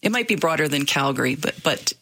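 Woman radio host, lowish bright voice, measured delivery, dry studio sound. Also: animated, husky, no echo. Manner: measured, scripted introductions. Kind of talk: radio interview.

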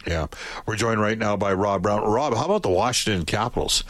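A man's voice: on the low side, a bit bright, no echo, clean studio sound. A man hosting a sports radio show, joking with a guest in casual chat. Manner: joking, brisk reads ad copy. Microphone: studio microphone.

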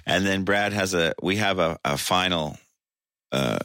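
A man in his sixties, a singer, telling an animated, laughing anecdote in an interview. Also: low-pitched, unhurried, no echo, studio quality. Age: 60s.

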